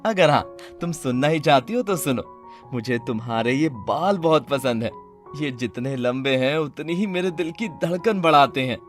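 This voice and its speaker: A man's voice: mid-range voice, expressive, moderate pace, natural voice. A man voicing an earnest, eager, lovestruck young mechanic in a cartoon story. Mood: romantic. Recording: dry studio voice with music.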